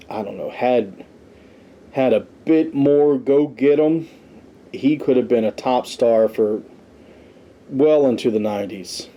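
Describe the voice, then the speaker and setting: Mellow-voiced man, casual podcast host. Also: mid-range voice. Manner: conversational, tossing in personal asides. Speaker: podcast host reviewing wrestling history. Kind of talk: casual commentary, reading a ranked list.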